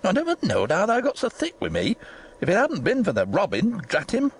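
An indignant old Yorkshire gardener, voiced by a woman reader, blustering in broad dialect. The hoarse, shaky delivery leaps dramatically up and down in pitch, like a storybook character full of bluster. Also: huge pitch swings, a little fast, exaggerated voice. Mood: scolding, outraged.

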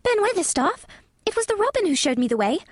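A woman's voice: very high voice, big pitch swings, quick pace, no room sound, voice pushed up. A woman voicing a spirited, obstinate young girl who speaks in a breathless, insistent way, in a storybook reading.

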